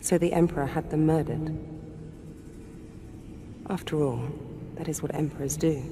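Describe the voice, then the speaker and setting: A woman playing a solemn, mysterious goddess in a video game, speaking in a measured, portentous storytelling manner. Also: low-pitched, background noise. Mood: grave, knowing. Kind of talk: dramatic video game cutscene acting.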